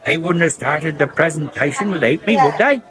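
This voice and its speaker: Male actor, wheedling rustic countryman, West Country accent, high animated voice, grumbling, radio soap. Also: normal speed, lightly acted. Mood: casual. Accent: English West Country. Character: wheedling rustic countryman at the village pub, fond of pints. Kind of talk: 1980s radio soap acting. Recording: pub crowd chatter behind.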